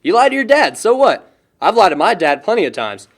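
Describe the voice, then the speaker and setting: A man acting as a goofy, teasing young guy in an indie film drama. His voice is high and swings up and down a lot as he needles his friend with carefree jokes. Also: very animated, a little fast, full character voice. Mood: needling. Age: young adult. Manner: playful, joking, needling. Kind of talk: naturalistic amateur film dialogue.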